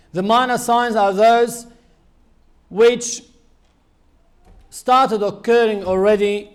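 A man giving an Islamic sermon in a measured, earnest teaching manner with frequent pauses. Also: high-pitched. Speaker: Islamic preacher.

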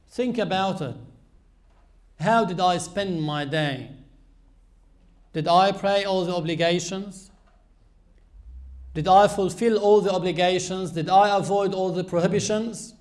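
High-voiced man preaching slowly. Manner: measured, earnest teaching with frequent pauses. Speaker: Islamic preacher. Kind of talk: sermon.

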